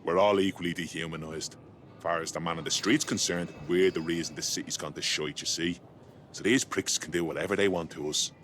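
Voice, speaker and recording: An actor playing a boozy, cynical British detainee in a video game, grumbling in a sardonic, colloquial way. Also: male voice, low voice, steady tone, a little fast, fairly bright tone, a little hiss or hum. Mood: sardonic, bitter. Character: cynical, boozy male detainee in dystopian city.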